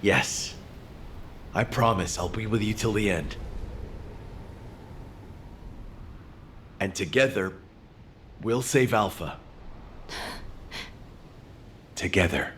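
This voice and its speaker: A man playing an earnest, resolute military leader in a video game cutscene, speaking in a measured, solemn way. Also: on the low side, lively intonation, fairly bright tone, slight background noise. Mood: remorseful, devoted. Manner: measured, solemn, deliberate delivery. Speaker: video game character actor.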